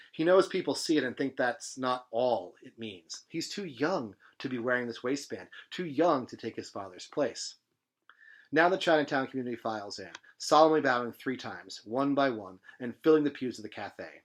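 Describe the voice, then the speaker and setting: A man reading literary fiction aloud in an expressive, dramatic manner with emphatic phrasing. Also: mid-range voice. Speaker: presenter reading a novel aloud.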